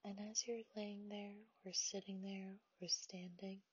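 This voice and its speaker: Woman meditation guide: breathy half-whisper, unhurried delivery, muffled dry home recording. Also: mid-range voice, flat delivery, no room sound. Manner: soft, half-whispered, slow and soothing.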